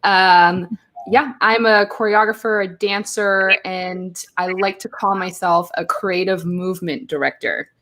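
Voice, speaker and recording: A chatty woman from Belgium, speaking at a medium pitch. She tells stories in a lively way and slips into quoted asides as a guest on a live show. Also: a little slow. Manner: chatty, animated storytelling with acted-out quotes. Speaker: guest, choreographer. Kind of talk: casual interview chat. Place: home, live stream.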